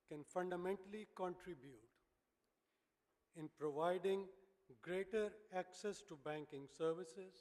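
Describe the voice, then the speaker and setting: A man with a Pakistani English accent giving a formal keynote speech as a banking executive, hoarse and clearing his throat often. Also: high-pitched, a little mellow. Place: large hotel conference hall. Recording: reverberant hall.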